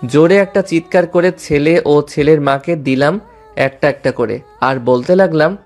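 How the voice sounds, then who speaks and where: A man's voice: on the high side, normal speed, a little mellow. A man narrating a dramatized story in the first person. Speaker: story narrator and lead character. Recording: music behind the voice.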